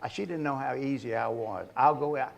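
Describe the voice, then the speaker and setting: An older man in his sixties or beyond who stutters, giving an informal talk as an author in a halting way with repeated words. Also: mid-range voice, vocal fry. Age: 60s or older.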